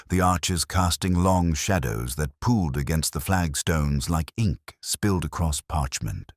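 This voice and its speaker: A man reading a scripted first-person literary mystery in a solemn, measured, reflective manner. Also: very deep voice, dry recording, clean studio sound. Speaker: narrator.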